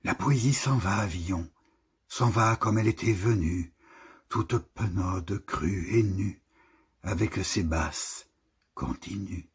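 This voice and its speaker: A man reciting poetry in deliberate, measured short phrases with pauses between them. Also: sits low, breathy edge. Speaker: poetry reader.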